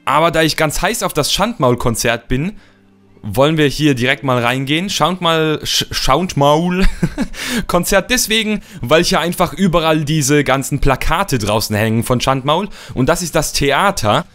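Slightly hoarse young man, playful commentary. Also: on the high side, expressive, normal speed, a bit bright, faint music behind. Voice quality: slightly rough voice. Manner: playful, rambling, repeats words for fun. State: hoarse from a cold. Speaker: let's play host. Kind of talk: casual gameplay commentary.

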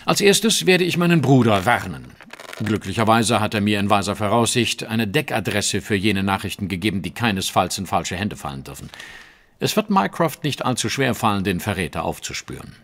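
Self-assured genius detective, audio drama; precise lecturing delivery with lively, swooping intonation. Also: male voice, fairly low pitch, moderate pace, heavily acted. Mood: grave, deductive. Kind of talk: German audio drama acting.

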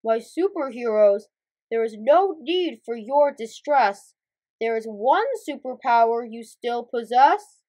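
A woman acts out a wise storybook superhero chief, a man of grand and solemn manner. The delivery is measured and knowing, with a touch of amusement and slow, deliberate pacing. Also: mid-range voice, darkish tone, voice pushed down. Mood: calm, knowing. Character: Big Boss, calm amused wise male superhero chief.

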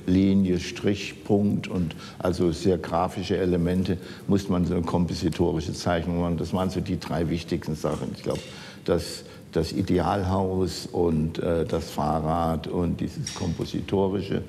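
An elderly man from the Saarland in Germany reminiscing in conversation, haltingly searching for words. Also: little pitch movement, creaky, warm tone. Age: older, 70s or 80s. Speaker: architect interviewed as an eyewitness. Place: large office archive room, indoors. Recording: echoey room with rumbling background noise.

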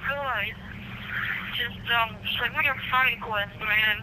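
A man's voice: very high-pitched, steady tone, slow pace. Young man on a noisy voice message. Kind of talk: casual, halting fan voice message. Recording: noisy game voice-message recording.

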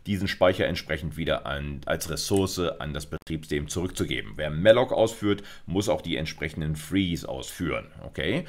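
Male lecturer, middle-aged, low pitch, conversational explainer delivery for a university video course. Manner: conversational, often checks in with short tags. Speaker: university computer science lecturer.

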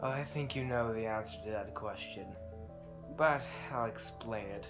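A bumbling assassin from the future, stumbling over his words and trying to sound menacing, played by a young man. He's recorded inside a house on a home camera. Guitar music plays clearly underneath. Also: lowish voice, normal speed, voice pushed down, close to an everyday voice. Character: bumbling time-traveling male assassin from the future. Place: family home, indoors.

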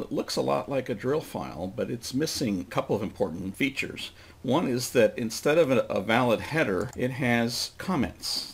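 A man presenting an informal step-by-step software screencast, explaining plainly and thinking aloud as he demonstrates. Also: lowish voice. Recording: clearly noisy desk recording with typing.

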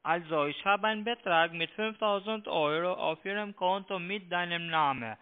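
A man with a foreign accent, not a native speaker, making a halting, repetitive phone pitch over a narrow tinny line. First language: not German. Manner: halting broken German, repetitive. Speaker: scam call-centre agent. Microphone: telephone.

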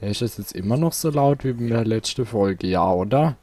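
A man's voice: medium pitch, lively intonation, normal speed, warm tone. A man hosting a let's play, chatting casually and thinking aloud while he plays, seated at home. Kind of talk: casual gameplay commentary.

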